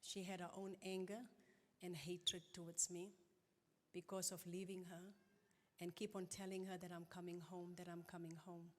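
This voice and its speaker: A middle-aged woman speaks from the stage in a slightly low voice, telling her story with earnest, halting pauses. Her words ring out with the long reverb of a large church hall. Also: flat delivery. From South Africa. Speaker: conference guest speaker. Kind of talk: personal church testimony. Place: church auditorium.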